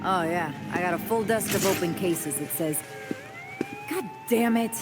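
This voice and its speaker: Woman as low-voiced, irritable lady cop. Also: a little slow, lightly acted. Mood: irritated. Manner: grumbling, brusque. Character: gruff, overworked, irritable female police officer. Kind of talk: video game cutscene acting. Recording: loud music behind the voice.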